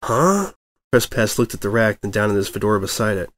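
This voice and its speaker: A man's voice: lowish voice, flat delivery, quick pace. A man reading a story aloud as narrator in a scripted storytelling style. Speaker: fan fiction reader, narrator.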